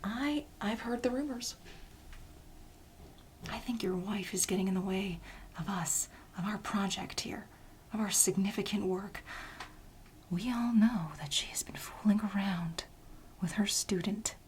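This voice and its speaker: A woman playing an obsessive, scheming coworker pining for her married boss, whispering dramatically and insistently in a soft-spoken ASMR role play. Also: medium pitch, a little hiss or hum, natural voice. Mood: conspiratorial, gossipy. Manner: breathy whispering, dramatic, insistent, with halting repetitions.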